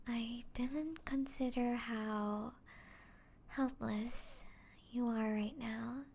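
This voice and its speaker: A woman playing a taunting, playful female vampire in an ASMR roleplay, teasing the listener and often slipping into breathy whispers. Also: fairly high pitch, a little mellow, a little hiss or hum. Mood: mocking, amused.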